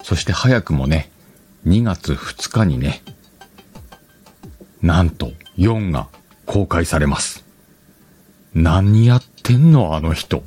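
Solo radio-style host: man, very low voice, lively intonation, chatty, faint music behind. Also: takes it slowly, long pauses, slightly rough. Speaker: host of an audio talk show.

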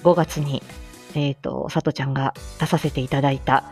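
A woman's voice: a bass voice, fairly even intonation, normal speed, a little mellow, soft background music. A woman hosting a live morning audio show, chatting casually and reading out announcements in a bubbly manner that moves between cute and polite.

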